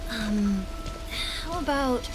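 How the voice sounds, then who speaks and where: Polite bookish anime game girl, highish voice, formal wording, music and rain behind her. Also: animated, very slow, poor audio. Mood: earnest, thoughtful. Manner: formal, careful phrasing. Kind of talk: anime-style game voice acting.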